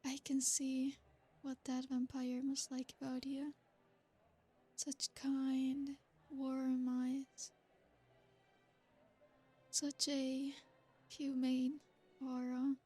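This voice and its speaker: A high, breathy vampire noblewoman played by a woman purrs slowly in an intimate ASMR roleplay. Her pitch stays narrow and even, with whispered asides slipped in. Faint music drifts underneath. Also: full character voice. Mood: seductive. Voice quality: breathy, soft-spoken. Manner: slow, seductive, drawn-out with whispered asides. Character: ancient possessive female royal vampire host. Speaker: ASMR creator.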